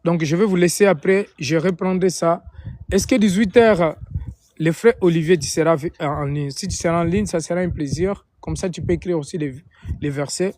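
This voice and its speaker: Higher-voiced man preaching with Angolan Portuguese accent. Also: normal speed, darkish tone, no echo. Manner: repeats and rephrases his phrases. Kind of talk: informal live-stream preaching and prayer.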